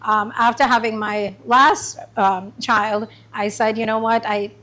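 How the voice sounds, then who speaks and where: A middle-aged woman from Trinidad and Tobago giving a chatty, informal talk about her business to a small group, with many pauses and ums. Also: fairly high pitch, fairly even intonation, bright, forceful tone. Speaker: small business owner presenting. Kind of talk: informal pitch presentation. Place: meeting room.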